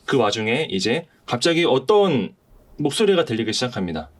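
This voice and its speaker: A man hosting a podcast, talking politely and haltingly in a semi-prepared way about a play. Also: medium pitch, sing-song. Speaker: podcast host.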